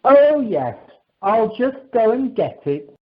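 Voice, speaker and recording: An eager, courteous holiday rep, voiced by a woman in an amateur radio comedy. The delivery is unhurried and lively, fairly high, on a dull telephone recording. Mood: eager, polite. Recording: dull telephone conference line.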